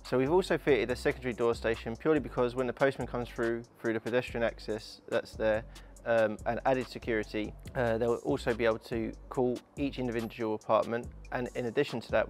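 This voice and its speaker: A man in his thirties explaining an installation in a matter-of-fact, instructional way, like a tradesman presenting a walkthrough. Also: mid-range voice. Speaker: smart home installer presenting his company's work.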